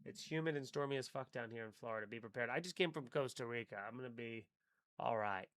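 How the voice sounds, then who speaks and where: A man's voice: mid-range voice, fast talker, fairly bright tone, dry recording. A young man hosting a live stream, chatting casually, rambling and swearing with wry asides. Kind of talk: casual live-stream chat reading chat comments.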